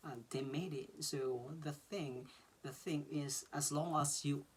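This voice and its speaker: Slow-talking Filipino man in his thirties. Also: a little high, breathy edge. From Philippines. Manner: relaxed, repeats words and fills with uh. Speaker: vlogger explaining an exam. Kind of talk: casual advice vlog. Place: small bedroom, indoors.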